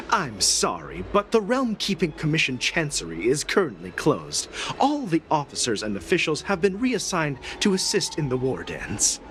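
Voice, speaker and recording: A man's voice: fairly high pitch, huge pitch swings, a little slow, fairly bright tone, faint music behind, full character voice. An actor playing a courteous, apologetic male clerk who is eager to help, in a video game. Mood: apologetic, helpful. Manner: polite, helpful.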